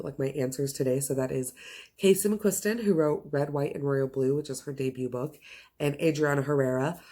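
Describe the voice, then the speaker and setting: A woman's voice: low voice, darkish tone. A woman hosting a casual book chat, speaking to viewers with gushing, emphatic enthusiasm and stretching the words she stresses. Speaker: book reviewer and video host.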